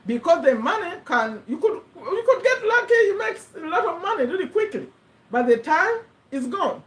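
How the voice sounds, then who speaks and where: High-voiced woman in her fifties, from Uganda. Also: big pitch swings, normal speed. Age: 50s. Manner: rambling, self-correcting, earnest. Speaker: vlogger. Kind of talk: casual talk to camera. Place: USA.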